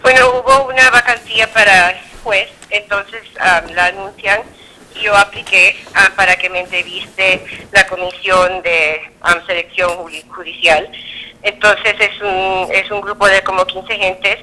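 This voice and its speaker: A very bright, forceful woman in her thirties from Peru, answering an interviewer's questions as a judge. She speaks in a halting way, full of fillers and self-corrections. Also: mid-range voice, little pitch movement, rough recording.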